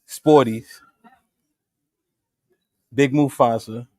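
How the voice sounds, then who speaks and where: Sex: man. Role: host. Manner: cuts in, steers the talk. Pitch: middle. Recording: dry, close. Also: steady tone, extremely slow, mellow, no echo. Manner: steers the talk, cuts in to make his point. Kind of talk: casual group talk.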